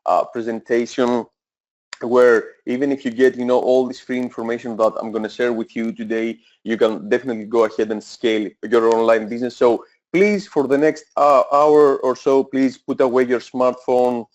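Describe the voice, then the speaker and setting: A man in his thirties with a Greek accent runs an online sales webinar at a middle pitch. He talks eagerly and persuasively, stops often on fillers and corrects himself mid-sentence. The audio is slightly dull and lo-fi. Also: little pitch movement, moderate pace, a little mellow. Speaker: webinar presenter, e-commerce coach.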